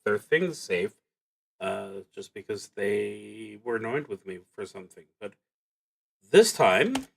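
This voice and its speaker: A man streaming a solo tabletop roleplaying game, thinking aloud in a halting, reflective way as he works through his moves. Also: fairly low pitch, big pitch swings, gravelly, a little hiss or hum.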